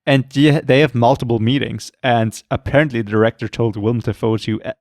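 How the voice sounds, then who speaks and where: A man co-hosting a podcast, talking casually about horror films, rambling and swearing often, with a voice going hoarse. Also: medium pitch, fairly even intonation, a little fast, a little mellow, no room sound, clean studio sound. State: losing his voice, throat clearing. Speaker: podcast co-host. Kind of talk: casual film chat.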